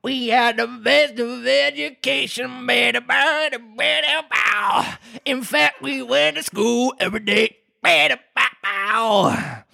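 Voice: mid-range voice, creaky, pushed and bright, voice pushed up, heavily acted. A reader voicing a melancholy, smug old male sea turtle, drawing out the words and breaking into jazzy scat riffs. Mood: sing-song. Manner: drawn-out, sing-song with scat riffs. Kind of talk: storybook reading aloud.

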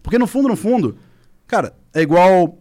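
A man's voice: sits high, normal speed. A man in his thirties from Minas Gerais, speaking as a guest on a podcast, telling stories with lots of filler words. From Minas Gerais, Brazil. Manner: animated storytelling, frequent filler words. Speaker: podcast guest, esports coach. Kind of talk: casual podcast chat. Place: podcast studio.

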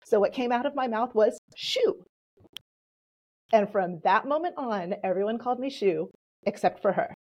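A woman whose first language is Polish, answering interview questions in a measured, explanatory way. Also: fairly high pitch, animated, darkish tone, breathy edge, highs cut off. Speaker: podcast guest.

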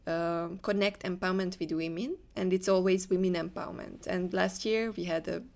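A woman's voice: fairly low pitch, fairly even intonation, normal speed, creaky, darkish tone. A woman from Hungary with a Hungarian accent, answering conversationally as an interview guest. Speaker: interview guest, leadership coach.

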